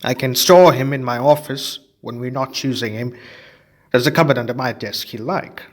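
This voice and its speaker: A man voicing a kindly adult male wizard teacher who speaks slowly and emphatically. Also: medium pitch, pitched above the performer's own voice, lightly acted. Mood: instructive. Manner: slow, emphatic and instructive.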